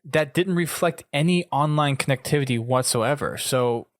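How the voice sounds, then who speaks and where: A man's voice: fairly high pitch, moderate pace, dry recording, studio quality. A man from the United States hosting a casual gaming podcast in a conversational way. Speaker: podcast host.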